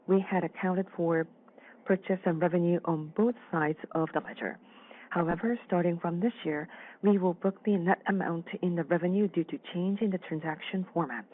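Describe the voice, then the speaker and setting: A speaker interpreting a formal financial results presentation on a conference call, with measured, halting phrasing. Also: mid-range voice. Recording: dull, band-limited phone-line audio.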